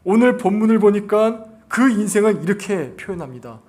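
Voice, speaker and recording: A man's voice: high-pitched, lively intonation, normal speed, a little mellow. A man preaching a sermon as a pastor, using rhetorical questions and repeating phrases for emphasis. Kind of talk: sermon to a congregation.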